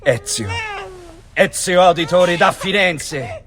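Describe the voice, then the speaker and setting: A man with an Italian accent acting an excitable, tender new father in a video game cutscene. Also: high-pitched, slow. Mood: proud, joyful. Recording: very noisy scene behind voice.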